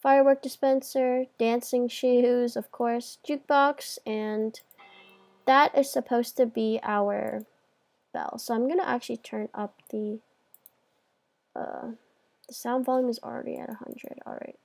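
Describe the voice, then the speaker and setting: High-voiced woman giving a casual game tour. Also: steady tone, measured pace, creaky, darkish tone. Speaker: host of a video game tour. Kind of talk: casual gameplay commentary.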